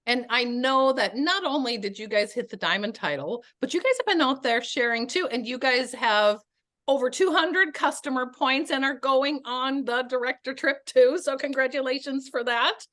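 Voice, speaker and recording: A woman's voice: sits high, lively intonation, normal speed, fairly bright tone. A woman hosting an interview on a video call, upbeat, chatty and encouraging toward her guests. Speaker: host.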